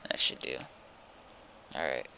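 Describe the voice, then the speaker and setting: A man's voice: measured pace, bright, forceful tone, a little hiss or hum. A man chatting casually and muttering to himself as he plays a game on stream. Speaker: streamer playing a zombie survival game. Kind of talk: casual gaming chat.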